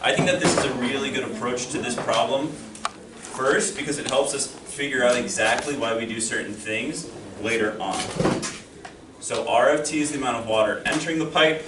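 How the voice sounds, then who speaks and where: A man's voice: medium pitch, normal speed. A young man teaching a math lesson to a class in a friendly, encouraging, self-deprecating way. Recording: echoey classroom from far off, noisy.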